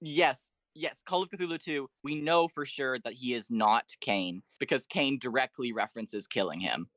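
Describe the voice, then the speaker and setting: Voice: mid-range voice, moderate pace, a bit bright, no room sound. A podcast guest talking through fan theories in an enthusiastic, explanatory way and in detail. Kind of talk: enthusiastic fan lore discussion.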